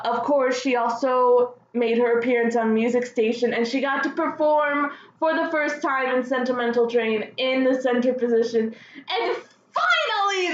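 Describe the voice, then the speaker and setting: A woman hosting a fan news show solo, chatting casually with gushing, excitable enthusiasm. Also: sits high. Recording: dull lo-fi sound, roomy echo, faint noise.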